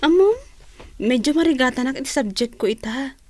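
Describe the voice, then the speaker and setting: A kind young student in a radio drama. She is a loyal, generous friend with a high voice, recorded dry and close. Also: expressive, brisk, dry recording. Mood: friendly.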